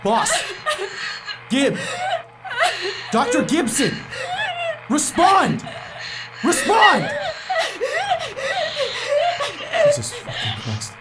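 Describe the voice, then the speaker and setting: A man's voice: very high-pitched, huge pitch swings, drawn-out words, pauses often, shouting, very noisy, heavily acted. A man playing a sweary, stressed astronaut in command of a mission, in a naturalistic sci-fi audio drama. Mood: desperate shouting. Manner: blunt, urgent, sweary. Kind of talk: naturalistic science fiction audio drama acting.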